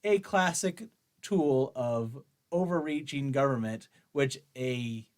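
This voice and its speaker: A man's voice: medium pitch, slow pace. A man hosting an opinion show, reading aloud and reacting in an argumentative, mocking way. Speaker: host of a commentary show on guns.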